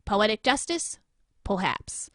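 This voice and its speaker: A woman playing an earnest, probing TV newsmagazine anchor in an audio drama, with measured, dramatic narration. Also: medium pitch, very animated, a bit bright, no room sound, clean studio sound. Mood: grave, intrigued. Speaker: host.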